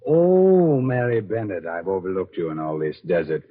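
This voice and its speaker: A man's voice: deep voice, moderate pace. A man hosting a 1940s radio horror show, narrating in a sly, mock-ominous way. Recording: dull old radio recording. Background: music.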